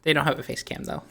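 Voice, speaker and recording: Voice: medium pitch, creaky, husky. Casual podcast co-host. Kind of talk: casual video game discussion.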